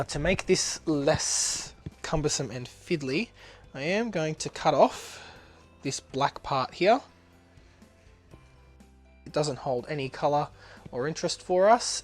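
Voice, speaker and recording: A man with a blocked-up nose, narrating a casual craft tutorial as he works, chatty and easygoing, with plenty of ums and uhs. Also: fairly high pitch. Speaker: resin artist demonstrating a project.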